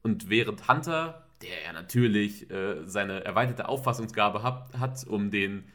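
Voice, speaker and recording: Bright-voiced male podcast co-host. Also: on the low side, lively intonation, a little slow. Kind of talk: casual episode discussion.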